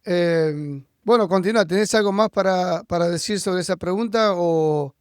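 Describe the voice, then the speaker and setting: A man's voice: high-pitched, normal speed, smooth, no room sound, studio quality. A man hosting a casual podcast interview. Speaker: podcast host interviewing a guest.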